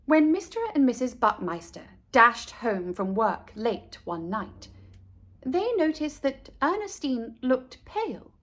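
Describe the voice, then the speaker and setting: A woman reading a picture book aloud to young listeners as a storyteller, deliberate and emphatic, speaking to them directly. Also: fairly high pitch, very animated. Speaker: read-aloud storyteller for children. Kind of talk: expressive picture book narration.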